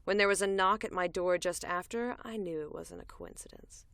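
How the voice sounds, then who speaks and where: A woman playing a cool, wry, tough female bounty hunter in an audio drama, deadpan and conversational. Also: fairly low pitch, normal speed, clean tone, no room sound, clean studio sound. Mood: calculating. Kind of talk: audio drama, first-person narration and dialogue.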